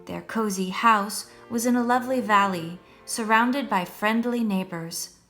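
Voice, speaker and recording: A woman reading a children's story aloud as a storyteller, in a measured, expressive way meant for young listeners. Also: medium pitch. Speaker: storyteller, first-grade teacher. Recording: music behind the voice.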